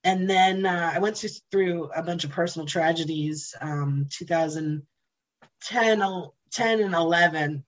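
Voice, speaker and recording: Lowish-voiced woman telling candid stories. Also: a little slow. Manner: candid, animated storyteller who swears casually and laughs often. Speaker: interview guest, blues jam host. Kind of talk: casual storytelling interview.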